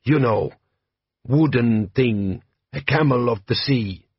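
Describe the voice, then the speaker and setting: A man voicing a hearty, shrewd desert bandit chief who speaks slow, broken English. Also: mid-range voice, husky, no room sound, lo-fi sound.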